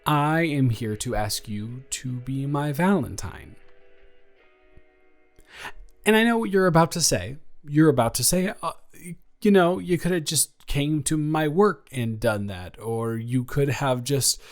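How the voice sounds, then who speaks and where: A man playing a nervous, earnest male best friend confessing a crush in a halting, rambling, improvised audio roleplay. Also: fairly high pitch, animated. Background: music.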